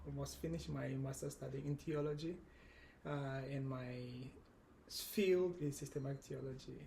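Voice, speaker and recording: A man in his thirties from Angola with a Portuguese accent, a guest speaking in an earnest, measured way, with frequent restarts. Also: mid-range voice, steady tone, vocal fry, mellow, slight background noise. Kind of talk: casual conversation.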